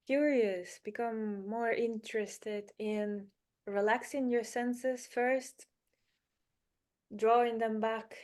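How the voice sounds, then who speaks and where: Woman doing guided meditation, really slow, soothing, short phrases w lots of pauses. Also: fairly high pitch, darkish tone.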